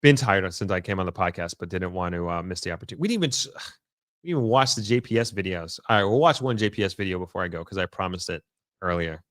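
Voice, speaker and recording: A tired man hosting a casual livestream, thinking aloud in an informal, halting way as he answers comments. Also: low voice, lively intonation, fairly bright tone, dry recording, studio quality. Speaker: livestream host. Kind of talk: casual livestream chat, reading out viewer comments.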